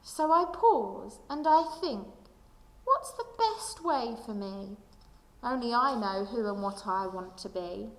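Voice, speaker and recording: A woman reading a children's picture book aloud like a primary school teacher, with expressive, deliberate storytelling delivery. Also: a little high. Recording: echoey room with long reverb.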